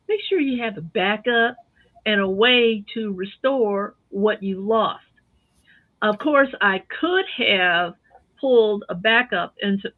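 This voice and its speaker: A woman explaining computer tips on a talk show in a chatty, step-by-step way, heard over the phone. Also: medium pitch. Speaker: tech segment guest. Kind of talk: casual explanation on talk radio. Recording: tinny phone line.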